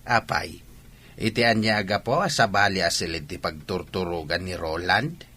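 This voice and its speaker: A man playing a fatherly old grandfather in an Ilocano radio drama, with expressive, drawn-out delivery. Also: sits low, a little hiss or hum. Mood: concerned. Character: fatherly old village grandfather, warm and protective.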